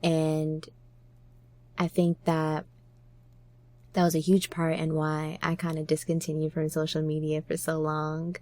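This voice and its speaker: A woman's voice: sits low, monotone, measured pace, pauses often, a little mellow, no echo. A woman hosting a podcast, talking in a casual, reflective way. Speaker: podcast host.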